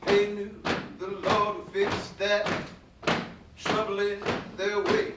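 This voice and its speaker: A woman on stage telling a story in a gospel performance, with deliberate, dramatic phrasing. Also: medium pitch, flat delivery, heavy background noise. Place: live staged performance in a reverberant room. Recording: echoey hall, distant mic, music.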